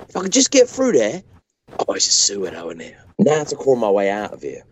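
A man acts a cheeky captive presenter in a comic audio drama. His voice is lowish and swings widely in pitch, with a matey, colloquial delivery. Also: huge pitch swings, a little fast. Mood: straining. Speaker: podcast host. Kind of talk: amateur comedy audio drama acting.

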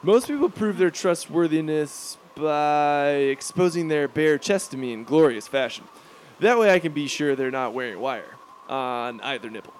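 A man's voice: a little high, animated, moderate pace, slight background noise, lightly acted. An actor voicing a spoiled, arrogant teenage rich boy in a comedic cartoon, petulant and pompous in manner. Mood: haughty. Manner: pompous, petulant, foul-mouthed.

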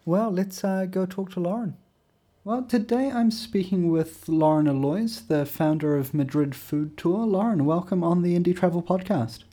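A man hosting a travel podcast, reading sponsor announcements and asking interview questions in a relaxed way, with frequent "uh"s and restarts. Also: high voice, moderate pace. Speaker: podcast host.

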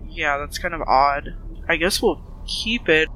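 A man's voice: on the high side, unhurried, pushed and bright, a little hiss or hum, poor audio. A man playing a practical, questioning woman detective in an improvised tabletop role-playing game, often thinking aloud. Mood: puzzled, questioning.

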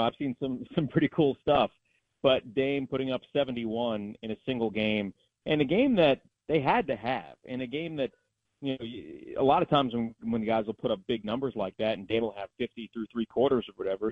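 A man's voice: medium pitch, dry recording. A man, a sports announcer appearing as a guest, giving emphatic, opinionated analysis in a conversational way over a dull, narrow-band line.

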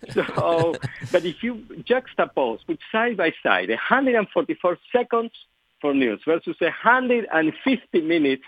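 A man's voice: fairly high pitch, no echo. A middle-aged man with an Argentine Spanish accent, a native Spanish speaker, giving thoughtful interview answers full of fillers and self-corrections. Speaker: guest professor. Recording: tinny phone-like line, dry.

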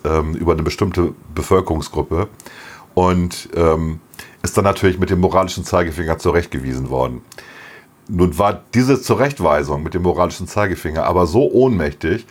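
Brief: middle-aged man, very low voice, a bit hoarse, easygoing podcast chatter with frequent laughs. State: slightly hoarse. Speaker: podcast co-host. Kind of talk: casual chat.

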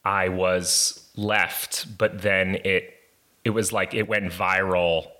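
Man, podcast guest, low pitch, bright tone, halting, casual storytelling. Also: little pitch movement. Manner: hesitant storytelling, many fillers and restarts. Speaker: guest, comedy writer.

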